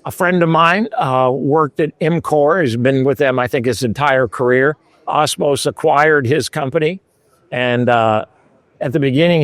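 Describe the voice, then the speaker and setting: A man's voice: mid-range voice, no room sound. A man from Scotland hosting interviews at a trade show, chatty and conversational with hesitant fillers.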